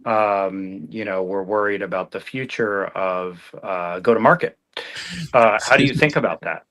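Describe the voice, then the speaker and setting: Male guest co-host, low, bright forceful tone, dry sarcasm, phone mic. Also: a little slow. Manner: wry, sarcastic banter. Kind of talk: casual livestream chat.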